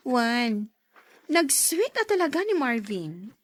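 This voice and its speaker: High-voiced earnest young Ilocano daughter. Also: female voice, sing-song, slow pace, exaggerated voice. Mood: sweet, happy. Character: young woman in love, dutiful daughter, firm in principle. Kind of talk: Ilocano radio drama acting.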